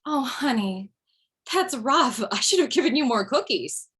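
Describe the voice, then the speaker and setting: A woman's voice: high-pitched, lively intonation, measured pace, fairly bright tone, breathy edge, heavily acted. Woman reading as worn-out widowed mom, bossy and dry, comedy table read over a video call. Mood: candid, wry. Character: overwhelmed widowed mother, dry-witted and bossy.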